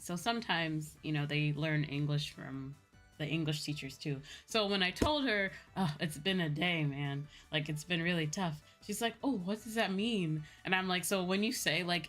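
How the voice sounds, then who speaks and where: A woman with a Midwestern American accent telling stories casually as a livestream host, in an animated, chatty manner. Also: low-pitched, moderate pace. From Midwestern United States. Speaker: streamer and VTuber host. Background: background music.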